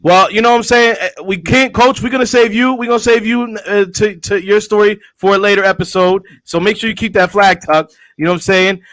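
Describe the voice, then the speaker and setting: A man's voice: sits high, steady tone, brisk, hardly any pauses, a bit bright, poor audio. A man hosting a casual podcast chat, laid-back and joking, with lots of restarts. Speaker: podcast host.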